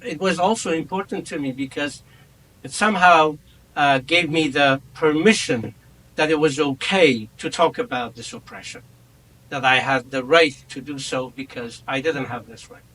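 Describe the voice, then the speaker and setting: A man in his fifties whose first language is Kurdish, chairing a memorial roundtable at an academic conference in a reflective, measured, personal way. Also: on the high side, pushed and bright, rough recording. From Iran. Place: conference room.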